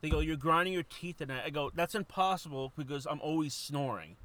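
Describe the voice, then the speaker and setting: A man's voice: fairly high pitch, lively intonation, fairly quick, pushed and bright. A man co-hosting a podcast, rambling and swearing casually as he laughs easily. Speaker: podcast co-host.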